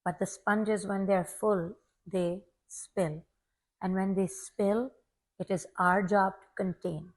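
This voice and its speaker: A woman's voice: medium pitch, fairly even intonation, takes it slowly, darkish tone. A woman in her forties from Pakistan, a longtime teacher, talking earnestly and reflectively as a guest in an interview, often repeating a word as she starts. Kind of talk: casual interview talk.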